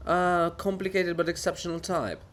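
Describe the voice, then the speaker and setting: A man voicing a living monument, a patient, gently needling friend, soft-spoken and measured in a theatrical reading. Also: high voice, little pitch movement. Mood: sincere, pleading. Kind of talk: theatrical dramatic reading of a farce.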